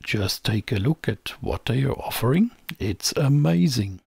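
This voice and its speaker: A man's voice: mid-range voice, animated, unhurried, gravelly, darkish tone, breathy edge, dry recording. A man presenting a scripted technical explainer in a didactic, emphatic way, as a close voice-over. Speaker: presenter of an electronics channel.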